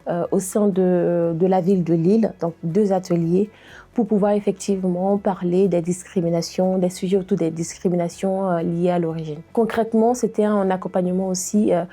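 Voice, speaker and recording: A woman in her thirties from Côte d'Ivoire, a diversity and inclusion trainer, giving a testimony with frequent pauses and hesitations. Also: lowish voice, steady tone, warm tone. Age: 30s. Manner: hesitant, many pauses and "euh" fillers. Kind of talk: interview testimony to camera.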